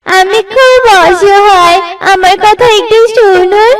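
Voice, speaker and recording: Woman voicing a tiny high-pitched earnest girl bird. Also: takes it slowly, distant mic, a loud crowd. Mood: tearful pleading. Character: small female bird Tuni, brave, tender and pleading. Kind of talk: children's cartoon voice acting.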